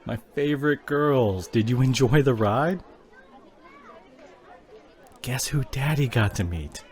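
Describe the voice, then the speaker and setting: A man playing an injured, fading husband and father in an emotional ASMR audio drama, tender and intimate, with a breathy voice that often drops toward a whisper. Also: mid-range voice, animated, slow pace, some chatter behind. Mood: tearful, loving. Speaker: audio roleplay voice actor.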